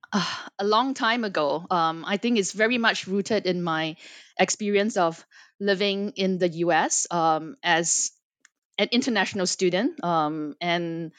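A woman's voice: medium pitch, fairly bright tone, no echo. A woman from Singapore in her forties, an author answering interview questions thoughtfully, with many ums and restarts. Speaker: guest author. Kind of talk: academic interview.

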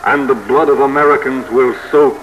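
A man's voice: medium pitch, steady tone, a bit bright, muffled recording, close to an everyday voice. Man acting ominous, insinuating spirit over music. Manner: measured, ominous, coaxing. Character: uncanny, insinuating dark male spirit, a tormenting conscience. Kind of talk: old-time American radio drama acting.

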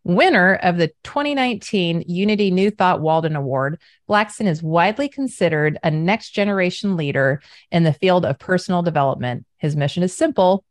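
A woman's voice: fairly low pitch, expressive, a little fast, no room sound. An enthusiastic woman hosting a business podcast and introducing her guest. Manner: enthusiastic, upbeat. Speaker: podcast host. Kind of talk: podcast interview.

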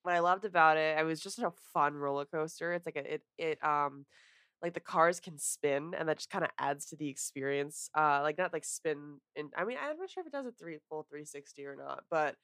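A young woman with a stuffy nose, chatting casually as a podcast co-host, talkative and quick to laugh. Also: low voice, fairly bright tone, no room sound, studio quality. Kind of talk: casual chat.

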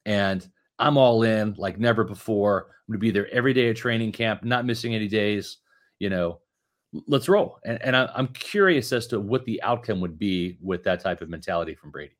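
A man in his fifties talks sports on a podcast in a fairly low voice. He thinks out loud, stumbling and restarting as he muses over ideas. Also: brisk. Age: 50s. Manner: musing, thinks aloud with restarts. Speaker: podcast co-host. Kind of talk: casual sports talk.

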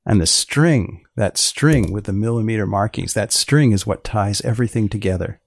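A man hosting a podcast interview, asking short questions and prompts in a deliberate, deadpan way, often repeating phrases. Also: fairly low pitch, gravelly, dry recording.

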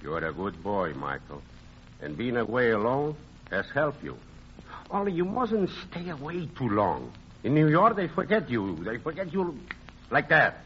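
An old art dealer in a vintage radio drama, fond and teasing. His delivery is halting and very animated, with big swings in pitch. The recording is muffled, with a hiss behind it. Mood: fatherly.

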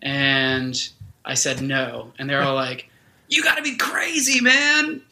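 A man's voice: mid-range voice, expressive, slow pace, gravelly, sharp tone. A man of twenty-eight, telling stories as an interview guest in a loose, colloquial way. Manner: colloquial, informal, frequent "like" and "dude". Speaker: guest, band vocalist. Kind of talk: casual interview storytelling.

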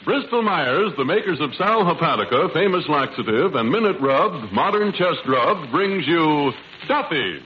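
A man's voice: fairly high pitch, lively intonation, unhurried, noisy background. An American man announcing a 1940s radio show in scripted, old-time style. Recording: muffled 1940s radio broadcast.

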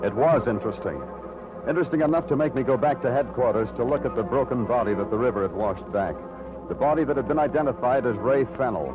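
An actor playing a dry, patient, world-weary police detective in an old radio noir, speaking in a laconic deadpan. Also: male voice, medium pitch, fast talker, loud music behind the voice, lightly acted. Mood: brooding. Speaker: lead actor and narrator. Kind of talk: 1940s-50s radio noir acting. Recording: muffled, noisy old radio recording.

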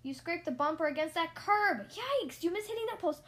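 A woman's voice: fairly high pitch, moderate pace, lightly acted. A woman voicing a bossy, strict male rodent driving instructor in a storybook, barking commands and scolding. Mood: scolding, alarmed.

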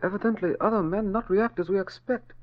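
Man as a high-voiced, deferential, loyal manservant. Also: a little fast, close to an everyday voice. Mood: calm, deferential. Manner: clipped, formal, non-native phrasing. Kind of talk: old-time radio acting. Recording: dull old radio recording.

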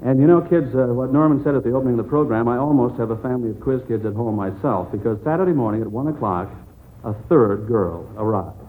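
A man's voice: mid-range voice, fast talker, mellow, a little hiss or hum, poor audio. Jovial man hosting a 1940s radio quiz. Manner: jovial, joking, addresses children. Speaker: substitute quiz show host.

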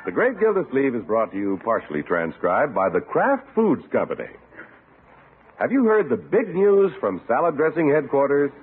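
A man's voice: medium pitch, huge pitch swings, normal speed, phone-quality sound, a little hiss or hum. A man announcing in a polished, enthusiastic 1950s scripted radio style. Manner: enthusiastic, polished sales delivery. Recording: thin old radio broadcast recording.

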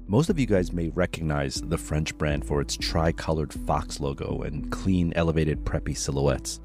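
Deep-voiced man hosting a podcast. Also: normal speed, over music. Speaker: podcast host. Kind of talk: scripted intro then casual interview. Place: New York studio.

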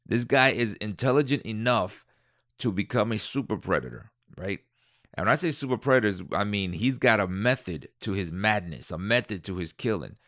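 Deep-voiced man reading and ranting. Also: expressive, moderate pace, fairly bright tone, no echo, clean studio sound. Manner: indignant, rambling asides with restarts. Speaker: podcast host. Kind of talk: reading aloud and commenting, casual.

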